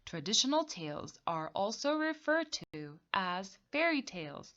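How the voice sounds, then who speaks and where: A woman teacher leading a read-along story lesson for young children, explaining things slowly and deliberately with repetition. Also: medium pitch, sing-song, fairly bright tone, dull audio. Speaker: teacher reading aloud.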